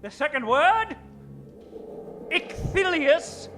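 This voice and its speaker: Fussy professor priest, slow delivery, high theatrical voice, solemn, radio comedy, faint music. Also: male voice, sing-song, full character voice. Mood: portentous. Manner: deliberate, theatrical, portentous. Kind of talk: old-time radio comedy acting.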